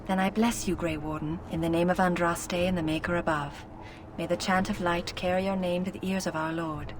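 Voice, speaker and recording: A woman's voice: lowish voice, fairly even intonation, brisk, background noise, natural voice. A woman playing a formal, reverent priestess who gives blessings in a fantasy game, with scripted, solemn delivery.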